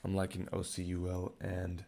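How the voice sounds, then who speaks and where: A young man with a raspy voice, thinking aloud in low-key, casual commentary while streaming his day trading live. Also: monotone, moderate pace, warm tone. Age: young adult.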